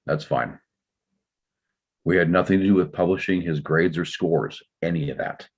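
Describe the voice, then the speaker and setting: Actor as very deep, husky, reserved older father. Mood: defensive. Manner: measured, explanatory. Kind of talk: scripted drama table read. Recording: dull, slightly muffled microphone sound.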